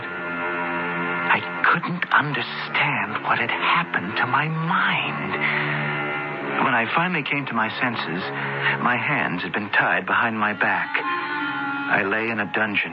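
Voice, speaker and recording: An actor playing a bewildered, anguished young man accused of a crime, with earnest, formal diction in an old-time radio drama. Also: fairly low pitch. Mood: dazed, recounting. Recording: muffled, hissy old radio recording.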